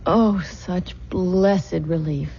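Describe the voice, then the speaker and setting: A woman's voice: lowish voice, extremely slow. A woman playing an anxious, weary young expectant wife, hesitant and dramatic in the manner of old radio acting. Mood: weary relief. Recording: muffled, noisy old radio recording.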